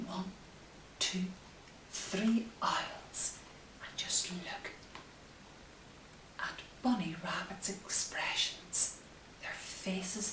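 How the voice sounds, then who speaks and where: A woman reading picture books aloud to young children, gentle and expressive, with drawn-out phrases and hushed asides. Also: mid-range voice. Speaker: story time host. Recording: roomy, distant mic with faint hiss.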